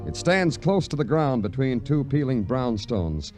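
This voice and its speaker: An actor playing a weary, laconic police detective in an old radio noir crime drama. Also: male voice, mid-range voice, big pitch swings, measured pace. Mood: moody, noir. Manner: laconic, understated. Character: weary, terse, hard-boiled police detective. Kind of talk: 1940s-50s radio noir acting and narration. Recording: vintage radio recording with music behind.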